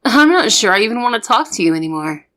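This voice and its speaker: A young woman playing a soft-hearted, easily exasperated secret agent in an ASMR audio roleplay. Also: fairly high pitch, sing-song, fast. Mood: annoyed.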